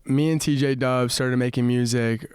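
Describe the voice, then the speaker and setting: A man's voice: medium pitch, steady tone, moderate pace, darkish tone, clear, resonant tone, no echo, studio quality. A young man from Morocco, a musician guest chatting on a podcast and telling stories with lots of fillers and restarts. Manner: earnest storyteller, many fillers and restarts. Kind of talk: casual podcast chat. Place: podcast studio.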